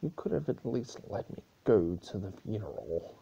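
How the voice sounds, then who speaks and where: Storybook chaos spirit, played by a man: male, mischievous and mocking, gravelly, swinging pitch. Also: very animated, moderate pace, warm tone, lo-fi sound, full character voice. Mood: sulky, casual. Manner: mocking, melodramatic.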